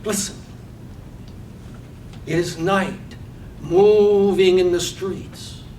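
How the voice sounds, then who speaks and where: A man narrating a poetic play from a script with hushed, deliberate, measured phrasing. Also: fairly even intonation. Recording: roomy stage, far mic, faint rumble.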